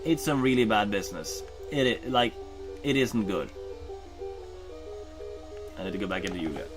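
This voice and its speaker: A man's voice: on the low side, lively intonation, normal speed, over music. A Norwegian man chatting casually while streaming a game, rambling with many ums and uhs and correcting himself. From Norway. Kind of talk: casual chat while gaming.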